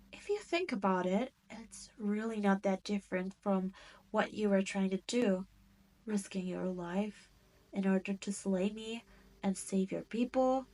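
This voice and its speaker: A woman's voice: mid-range voice, a little mellow, lightly acted. A woman voicing a cruel, haughty demon queen in a roleplay audio drama, with a teasing, condescending manner. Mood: persuasive. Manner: slow, teasing, condescending drawl with theatrical emphasis.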